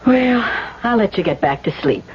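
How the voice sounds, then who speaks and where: An actress playing a concerned woman who gently presses and coaxes, in a 1970s radio drama. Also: medium pitch, sing-song, normal speed, husky. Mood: matter-of-fact. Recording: dull 1970s radio recording.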